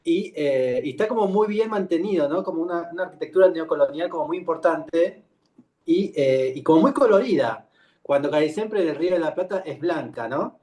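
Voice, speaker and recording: A man with a Rioplatense Spanish accent, hosting an interview and asking curious, relaxed questions. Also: fairly high pitch, a little fast. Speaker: interview host. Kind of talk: interview conversation.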